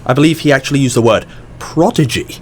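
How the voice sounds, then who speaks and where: A man's voice: mid-range voice, quick pace, a little mellow, a little hiss or hum, close to an everyday voice. An actor in an audio drama playing a mysterious, encouraging male company boss who is polished, effusive and probing. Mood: welcoming.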